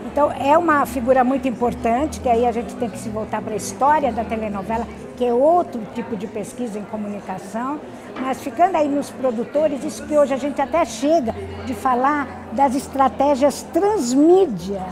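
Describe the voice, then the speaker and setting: A Brazilian woman academic answering interview questions in an explanatory, halting way, often adding tag questions. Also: sits high. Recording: busy crowd chatter behind. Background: crowd noise.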